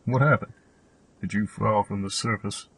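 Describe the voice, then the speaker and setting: Man acting a husky, low-voiced old hermit. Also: steady tone, moderate pace, fairly bright tone, exaggerated voice. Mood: musing, matter-of-fact. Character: old hermit fossicker, knowing, quietly amused gear fanatic. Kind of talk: radio play acting.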